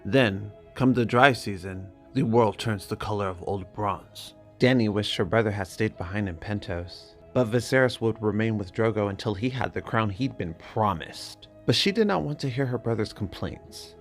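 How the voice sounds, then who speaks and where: A man narrating a fantasy story from a script in a measured, dramatic manner. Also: on the low side, faint music behind.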